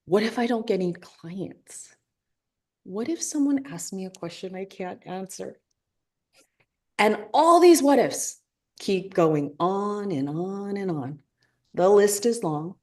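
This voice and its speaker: A woman co-hosting a live webinar, giving a motivational talk and slowly repeating key lines for emphasis. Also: medium pitch, lively intonation. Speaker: webinar co-host.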